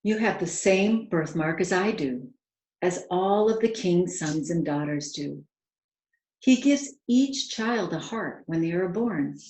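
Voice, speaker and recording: A woman's voice: fairly low pitch, lively intonation, takes it slowly, a little mellow. A woman voicing a kindly, eager royal manservant in a storybook, urgent and earnest. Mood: gentle, earnest. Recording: roomy, echoing home recording.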